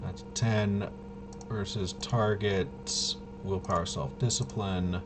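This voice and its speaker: A man thinking aloud through a tabletop game walkthrough, casually muttering to himself in a halting way and trailing off. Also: low voice, fairly even intonation, noisy background. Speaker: solo tabletop RPG player demonstrating gameplay.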